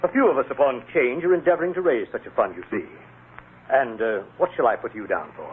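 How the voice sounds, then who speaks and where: An actor playing a courteous, earnest charity gentleman, speaking politely and formally in old radio drama style. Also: mid-range voice, fast, slightly rough. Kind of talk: 1930s radio drama acting. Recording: tinny old radio recording with noise.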